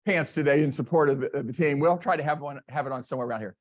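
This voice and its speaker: A man's voice: fairly high pitch, racing, no room sound, lo-fi sound. A man making joking remarks at a sales meeting, in a teasing manner. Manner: teasing, jokey. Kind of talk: joking casual talk. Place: meeting room.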